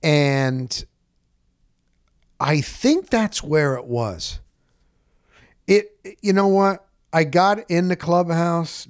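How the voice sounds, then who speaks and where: A middle-aged man telling stories casually as a sportscaster, rambling and candid, with animated, salty talk and repeated phrases for emphasis. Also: fairly high pitch, dry recording, studio quality. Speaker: sportscaster and podcast host.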